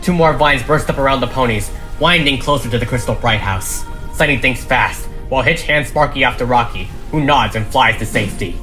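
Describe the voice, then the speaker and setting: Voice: medium pitch, fairly quick, hardly any pauses, pushed and bright. A narrator reading an audio drama script aloud, stage directions included, in a dramatic way. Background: music.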